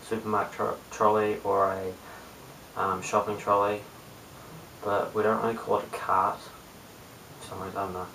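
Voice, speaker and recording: Mumbling young New Zealand man, flat pitch. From Christchurch, New Zealand. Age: young adult, late teens. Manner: low-key, mumbling, hesitant. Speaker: amateur video blogger. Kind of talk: casual chat to camera. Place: small bare room indoors. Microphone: webcam at a short distance. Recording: roomy, distant webcam mic with hiss.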